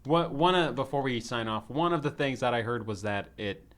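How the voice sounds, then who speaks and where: A man's voice: mid-range voice, expressive, normal speed, a bit bright. A man talking casually as a panelist on a podcast.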